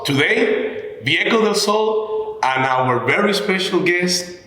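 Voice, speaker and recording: A man hosting a conversational interview, phrasing things in a halting, deliberate way. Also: sits high, lively intonation, bright, forceful tone. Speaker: show host. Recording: echoey room.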